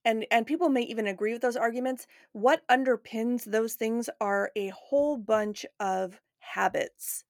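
A woman's voice: a little high, unhurried, few pauses, no echo, clean studio sound. A woman hosting a podcast in a casual, reflective conversational style. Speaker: podcast host.

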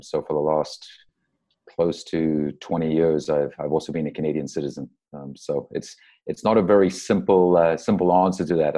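A man's voice: a bass voice, mellow. A middle-aged man with a South African accent, giving casual, reflective answers as an interview guest. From Durban, South Africa. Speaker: interview guest, soccer coach.

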